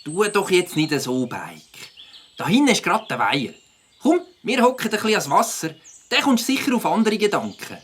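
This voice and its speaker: A woman's voice: mid-range voice, big pitch swings, normal speed, slight background noise, close to an everyday voice. A woman voicing a trusting, easygoing young male biker buddy in a children's audio drama. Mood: reassuring.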